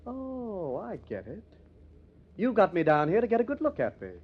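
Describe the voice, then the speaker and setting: A dull old radio recording of a haughty young society heir. His fairly high, husky voice moves with lively swings, refined and condescending in vintage drama style. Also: normal speed, full character voice. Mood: defensive, smug.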